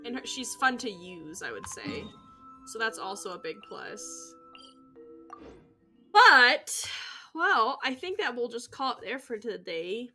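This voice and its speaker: A woman's voice: a little high, very animated, takes it slowly, pushed and bright. A woman streaming a video game, giving casual, rambling commentary in an informal, musing way. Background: music.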